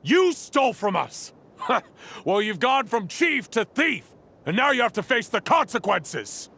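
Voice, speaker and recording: A man's voice: very high-pitched, big pitch swings, a little slow, gravelly, pushed and bright, full character voice. An actor playing a mocking rival tribesman who accuses the chief of theft, in a game cutscene. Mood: gloating.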